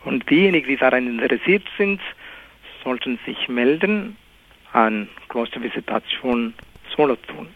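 Soft-spoken priest over a thin line. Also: male voice, mid-range voice, expressive, normal speed. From India, Kerala. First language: Malayalam. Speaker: priest, guest. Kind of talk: radio interview. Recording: narrow phone-like radio sound.

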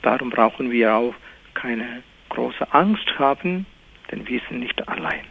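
A priest speaks German, slow and earnest in a mid-range voice. The sound is narrow and boxy, like a phone line carried over radio. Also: male voice, mid-range voice, animated, creaky, breathy edge. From India, Kerala. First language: Malayalam. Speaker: priest, guest. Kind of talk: radio interview.

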